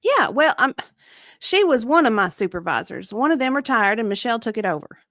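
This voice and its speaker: High-voiced, evasive witness played by a woman. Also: very animated, a little fast, a bit bright, no echo, studio quality. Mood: defensive. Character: evasive, defensive female victim advocate being deposed. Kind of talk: dramatic deposition reading.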